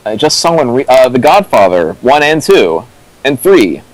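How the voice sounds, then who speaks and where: A man co-commentating in casual, opinionated discussion, talking haltingly as he explains through examples. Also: mid-range voice, big pitch swings, rough recording.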